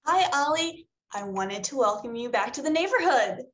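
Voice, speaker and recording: High chirpy voice, woman acting a super perky neighbor, thirties, over-friendly, sitcom script read. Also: animated, moderate pace. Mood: eager, friendly. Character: super perky, eager-to-please thirty-four-year-old suburban neighbor woman. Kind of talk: comedy script table read. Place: home room on a video call.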